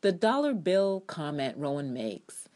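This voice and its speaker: Low-voiced woman, emphatic dramatic essay reading. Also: very animated, unhurried, a little mellow. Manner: emphatic, theatrical, sardonic. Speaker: TV drama blogger reading own review aloud.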